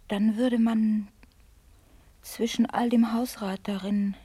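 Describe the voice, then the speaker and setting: Melancholy mother, slow delivery, breathy husky voice, whimsical, literary radio drama. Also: medium pitch, mellow, no echo.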